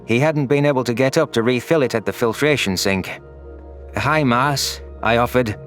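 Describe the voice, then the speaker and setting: A man's voice: mid-range voice, moderate pace, a bit bright, soft background music. A man narrating a scripted science fiction story in the first person as an anguished, guilt-ridden alien. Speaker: narrator of a sci-fi audio story.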